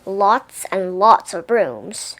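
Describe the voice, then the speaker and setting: A woman reading a children's picture book aloud as an expressive narrator. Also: mid-range voice, extremely slow, rough recording. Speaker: storybook reader.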